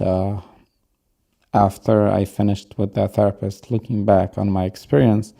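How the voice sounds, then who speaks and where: Young male interviewee, Yiddish native; unhurried, reflective delivery, low gravelly tone. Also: mellow. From upstate New York. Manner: slow, thoughtful, with pauses and fillers. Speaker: interview guest. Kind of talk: reflective personal storytelling.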